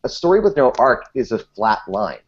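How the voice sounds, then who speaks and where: A man's voice: mid-range voice, very animated, normal speed, lo-fi sound. A man speaking as a podcast guest in a casual panel discussion, with a jokey, playfully theatrical manner.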